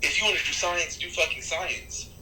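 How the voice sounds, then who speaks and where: A man who is a rapper and artist gives long, animated interview answers, often restarting his sentences and swearing for emphasis. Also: on the high side, moderate pace, reverberant, a little hiss or hum, rough recording. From United States.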